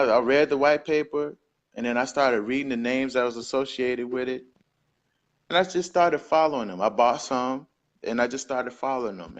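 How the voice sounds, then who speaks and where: A man's voice: medium pitch, muffled recording. A man from Georgia with a Southern accent, a guest telling his story in an easygoing, upbeat way on a video call. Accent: Southern American. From Georgia, USA. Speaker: guest, crypto device distributor. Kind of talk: casual interview over video call.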